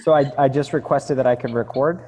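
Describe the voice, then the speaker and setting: A man introducing a call as director of communications, speaking hesitantly with frequent pauses. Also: medium pitch, darkish tone. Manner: hesitant, many ums. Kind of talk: meeting introduction. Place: video call.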